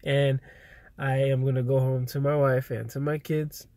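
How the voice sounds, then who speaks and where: A man's voice: medium pitch, level tone, moderate pace, dark tone, dry recording. A man in his thirties with a stuffy, congested nose, tired, talking casually to a vlog camera in a car. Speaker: vlogger. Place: inside a parked car in daytime. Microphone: camera at close range.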